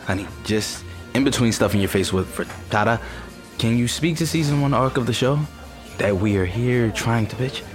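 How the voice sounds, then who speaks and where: An actor playing a wry, pragmatic partner in an audio drama, with a dry, brisk way of talking. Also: medium pitch, expressive, music in the background, close to an everyday voice. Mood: dry, prodding. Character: wry, pragmatic partner, supportive but quick to bolt. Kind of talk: audio fiction acting.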